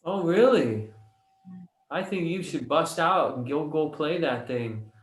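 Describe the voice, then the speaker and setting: A man's voice: a little high, animated, slow. A man chatting casually with family over a video call. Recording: echoey room on video call.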